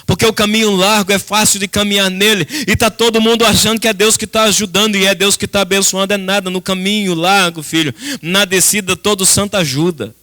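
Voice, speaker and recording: High, bright-voiced Brazilian man preaching. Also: steady tone, a little fast, hardly any pauses. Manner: emphatic, dramatic, with rhetorical repetition and direct address to the congregation. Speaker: pastor preaching. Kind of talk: impassioned church sermon. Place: church service.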